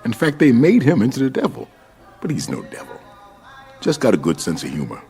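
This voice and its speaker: An actor playing a laid-back, sly mystic sidekick in a radio drama, musing in an amused drawl. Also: male voice, mid-range voice, wildly swooping pitch, a little fast, a little mellow, husky, faint music behind, heavily acted.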